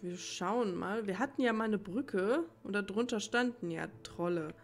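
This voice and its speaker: Woman doing chill gameplay commentary, average pitch, rambles to herself, soft music in the back. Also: normal speed. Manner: musing aloud, rambling. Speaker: let's play host. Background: faint game music.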